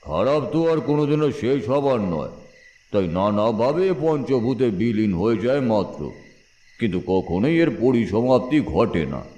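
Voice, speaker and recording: A man's voice: mid-range voice, sing-song, warm tone, roomy sound. A man playing an old spectral tantric sage. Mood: ominous. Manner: chuckling, slow and knowing. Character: kindly ghostly old male tantric astrologer. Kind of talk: Bengali audio story acting.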